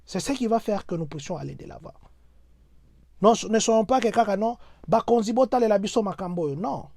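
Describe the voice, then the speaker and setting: A man's voice: sits high, expressive, brisk, vocal fry, dark tone, dry recording, clean studio sound. Impassioned man with Congolese French accent. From Democratic Republic of the Congo. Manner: impassioned and exhorting, with repeated rallying phrases and French mixed with Lingala. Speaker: community speaker addressing compatriots. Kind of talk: motivational civic address. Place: United States.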